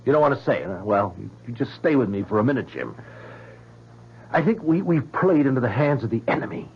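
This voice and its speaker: An actor playing a troubled, obsessive scientist in a 1970s radio drama, speaking in a halting, insistent and defensive way. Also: male voice, medium pitch, lively intonation, slight background noise, close to an everyday voice. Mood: uneasy. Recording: muffled vintage radio broadcast.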